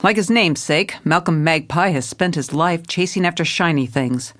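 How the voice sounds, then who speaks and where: An actress playing a world-weary, dogged female police commissioner, narrating noir-style in a dry, deadpan way. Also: sits low, normal speed, lightly acted. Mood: grim, resolute. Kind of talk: noir detective narration and dialogue.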